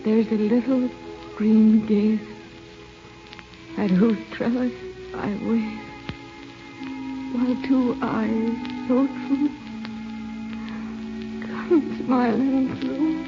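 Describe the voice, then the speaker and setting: Slow, fading young bride, radio melodrama. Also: female voice, fairly high pitch, steady tone. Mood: faint, tender. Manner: slow, halting, faint, melodramatic. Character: dying young bride, tender, wistful, devoted. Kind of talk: 1940s radio acting. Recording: muffled old radio recording with music.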